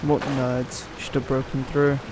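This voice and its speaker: A man's voice: medium pitch, monotone, unhurried, background noise. A man giving casual, muttered gameplay commentary as he streams, offhand and lightly sweary. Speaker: video game streamer. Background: game music and effects.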